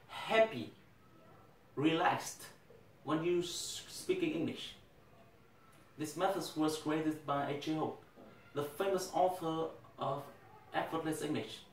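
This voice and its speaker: Young Vietnamese-accented male teacher, fairly high voice, earnest speech delivery, roomy echo from a distant mic. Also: slight background noise. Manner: earnest, deliberate, occasional restarts. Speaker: English teacher. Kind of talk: prepared speech. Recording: echoey room, mic at a distance.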